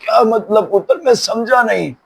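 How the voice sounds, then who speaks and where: A man playing an ailing, stubborn and pious elderly father in a moral short drama, with a husky, gravelly voice. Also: high voice, normal speed, full character voice. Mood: solemn, forgiving. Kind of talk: Urdu moral short-film acting.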